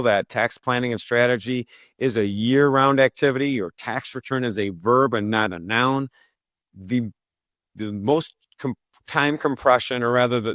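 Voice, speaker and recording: A male podcast host at a middle pitch who sounds eager as he opens the show. He often stops and restarts his phrases while he talks. The sound is dry and close to the mic. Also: a bit bright, dry recording. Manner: enthusiastic, restarts and self-corrections. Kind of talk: podcast interview.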